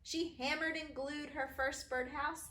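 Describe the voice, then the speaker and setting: A woman teacher reading children's stories aloud in an expressive, sing-song way for young listeners. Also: sits high, a little slow. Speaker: kindergarten teacher reading her own stories. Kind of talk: animated children's storybook reading. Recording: roomy echo, faint background noise.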